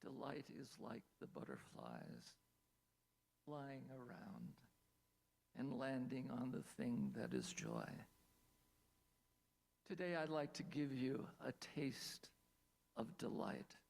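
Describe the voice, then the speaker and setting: Slow, gravelly-voiced storyteller man. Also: medium pitch, huge pitch swings, a little mellow. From Pennsylvania, USA. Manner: measured, lingering on single words. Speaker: storyteller presenting a talk. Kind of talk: presentation with playful asides. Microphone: video call.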